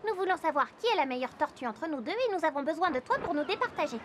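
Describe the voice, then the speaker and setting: A woman's voice: sits high, expressive, fast talker, fairly bright tone, a little hiss or hum. A woman voicing a boastful but sweet female sea turtle in a children's cartoon, with a self-assured manner.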